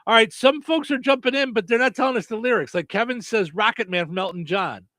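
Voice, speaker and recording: A man's voice: high voice, fast talker, no echo, studio quality. A man hosting a radio show, reading listener comments aloud and chatting playfully with his co-host. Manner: lively, playful, lots of affirming murmurs. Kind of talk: casual chat.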